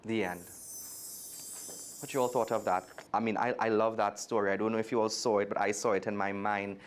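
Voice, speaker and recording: A man's voice: fairly low pitch, little pitch movement, fairly quick, a little hiss or hum. A man with a Trinidadian accent reading a children's story aloud expressively and speaking directly to young listeners. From Trinidad and Tobago. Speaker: guest storyteller.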